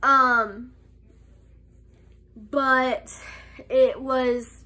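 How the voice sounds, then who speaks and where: Nasal, slow-talking young woman with a cold. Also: sits high, fairly even intonation, sharp tone. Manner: slow, halting, many "um"s and restarts. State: nasal from a cold, slight cough. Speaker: vlogger. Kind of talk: casual life update vlog. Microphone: phone camera held close.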